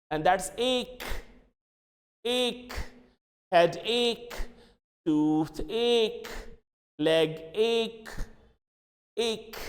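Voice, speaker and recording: Brief male teacher, high lively voice, reverberant room, drills words slowly. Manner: switches between English and Hindi, repeats words slowly for drilling. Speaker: English teacher. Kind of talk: classroom pronunciation lesson.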